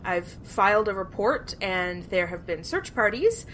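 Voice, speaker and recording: An actress playing a determined, troubled older sister in an audio drama, speaking in a formal, earnest way. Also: female voice, medium pitch, very animated, a little slow, fairly bright tone, a little hiss or hum, low-quality recording. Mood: guarded. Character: distraught, proper, determined older sister of missing girl.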